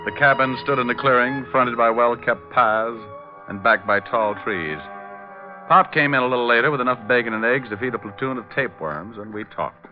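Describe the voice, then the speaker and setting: An actor playing a wry American private detective, dry and wisecracking, in old-time radio style. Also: male voice, mid-range voice, moderate pace, natural voice. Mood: wry narration. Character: wry, brisk private detective, narrating his case. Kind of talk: old-time radio acting, first-person narration. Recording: dull vintage radio, music underneath.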